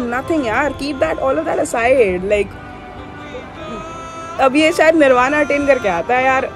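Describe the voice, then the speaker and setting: A woman of twenty-one talks straight to her viewers in a loose, opinionated ramble, often stopping to add a quick aside. Her voice sits a little high and she takes her time. Clear music plays under everything she says. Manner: rambling, opinionated, code-switching, often says "yaar" and "theek hai". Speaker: vlogger. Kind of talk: casual talking-head vlog.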